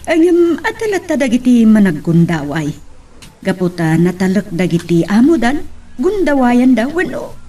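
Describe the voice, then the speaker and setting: A seasoned Ilocano auntie played by a woman in a love drama, giving advice. Her voice is slightly high and very animated, with an expressive, conversational delivery. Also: moderate pace. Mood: matter-of-fact. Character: older auntie, a seasoned, advising overseas worker. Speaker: actor in an audio drama. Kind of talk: Ilocano radio-style drama acting.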